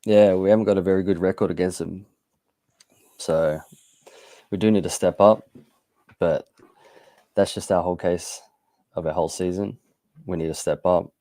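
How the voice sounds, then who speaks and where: A man co-hosting a casual football podcast, talking through his team's form in a plain, unhurried way. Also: sits low, fairly even intonation, dark tone, dry recording. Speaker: podcast co-host.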